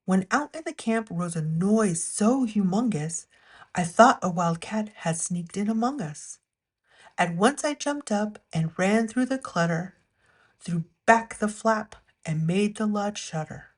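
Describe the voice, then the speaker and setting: A woman's voice: medium pitch, animated, a little slow, husky. Woman reading a rhyming children's story aloud. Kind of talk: read-aloud rhyming picture-book narration.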